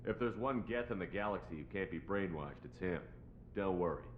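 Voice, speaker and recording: A man's voice: low voice, expressive, a little fast. A man playing a no-nonsense military commander in a video game, talking bluntly and firmly in terse questioning lines. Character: stern, no-nonsense male military commander hero. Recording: dull game audio with faint noise.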